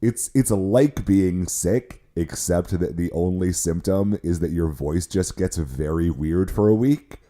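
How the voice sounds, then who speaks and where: A hoarse man co-hosting a podcast, chatting casually with lots of restarts and poking fun at himself. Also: sits low, a little slow, few pauses, warm tone. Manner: rambling, self-mocking, many restarts. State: hoarse from illness, voice cracks. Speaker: podcast co-host. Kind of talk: casual chat.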